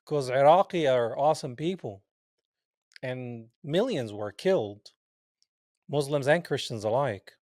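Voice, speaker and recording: A man's voice: mid-range voice, no room sound, clean studio sound. Man telling stories animatedly. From Palestine. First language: Arabic. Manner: animated, acts out quoted dialogue, laughs often. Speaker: guest. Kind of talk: casual interview storytelling.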